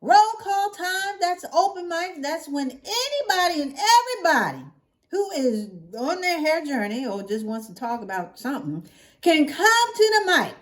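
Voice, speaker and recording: A woman's voice: very high voice, fairly bright tone. A woman hosting her own vlog, chatting casually to her viewers and playfully stretching out catchphrases for emphasis. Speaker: hair vlogger hosting her own channel.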